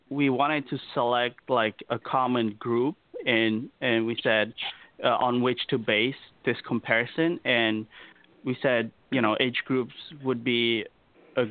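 Even-voiced man explaining over a phone line. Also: mid-range voice, no echo. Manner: explains patiently, with many fillers. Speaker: company co-founder, guest. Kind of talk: casual interview answers. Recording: dry, tinny phone-line audio.

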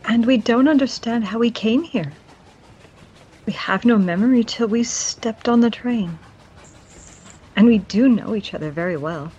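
A woman's voice: fairly high pitch, measured pace, long pauses, dark tone, slightly rough. A woman playing a polite, puzzled young girlfriend in an audio fantasy drama. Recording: slightly dull, lo-fi sound.

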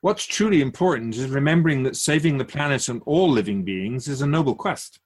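Give this voice to a wise, otherworldly keeper of souls played by a man in a radio drama. The delivery is measured and formal, with a slightly husky tone at a middle pitch. It counsels humanity like a patient teacher. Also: expressive, lightly acted. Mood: earnest, grave. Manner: measured, formal, didactic, rhetorical questions.

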